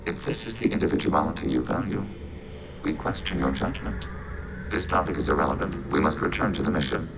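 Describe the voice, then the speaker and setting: An actor voicing a calm, logical machine mind in a video game, measured and formal, with synthetic processing on the voice. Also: male voice, low voice, creaky, music in the background, low-quality recording. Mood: grave, questioning. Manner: measured, clinical, formal, speaks as "we". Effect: synthetic robot voice processing. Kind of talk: video game character acting.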